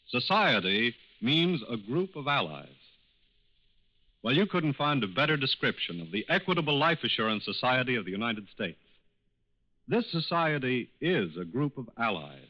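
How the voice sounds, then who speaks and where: A man delivering a scripted sponsor message as a formal, deliberate 1940s radio announcer. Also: medium pitch. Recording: muffled 1940s radio recording.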